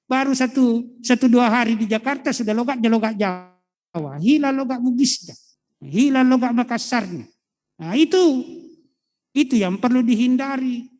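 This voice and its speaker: A middle-aged man, a history teacher lecturing students in a fatherly way and pausing on fillers as he thinks. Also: very high-pitched, darkish tone. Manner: fatherly, calls listeners child, hesitant fillers. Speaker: history teacher, guest speaker.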